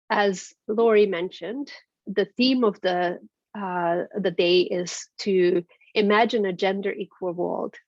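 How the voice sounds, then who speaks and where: A woman's voice: medium pitch, dry recording. A woman from Transylvania, Hungarian by first language, delivers an earnest prepared speech over a video call. She often stops to restart her phrasing. The audio is dull and lo-fi, in a dry space. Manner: earnest, with frequent fillers and restarts. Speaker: executive director, guest speaker.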